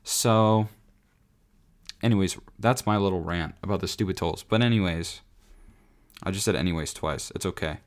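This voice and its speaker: A man in his twenties hosting his own podcast, rambling casually with lots of fillers and restarts, his voice raspy from singing. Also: sits low, moderate pace, pauses often. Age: 20s. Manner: rambling, self-correcting, frequent fillers. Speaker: podcast host. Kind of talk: rambling solo podcast chat.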